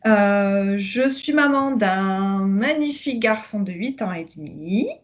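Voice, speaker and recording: A woman's voice: mid-range voice, expressive, slow. A woman in her thirties from northern France, hesitantly recounting her personal journey with many fillers, over a video call. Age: 30s. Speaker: coaching client giving a testimonial. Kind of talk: conversational interview.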